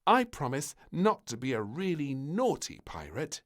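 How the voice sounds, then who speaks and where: A man's voice: on the high side, sing-song, unhurried, pitched above the performer's own voice. A man voicing a cheeky, bossy little princess who plays at pirates in a children's storybook, exclaiming in a gleeful, childlike way. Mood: sweet.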